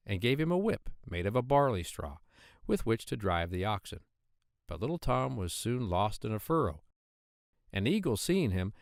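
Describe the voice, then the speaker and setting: Man reading a children's tale, lively intonation. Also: mid-range voice, no echo, studio quality. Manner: expressive storytelling. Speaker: audiobook narrator of children's tales. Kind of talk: scripted storybook narration.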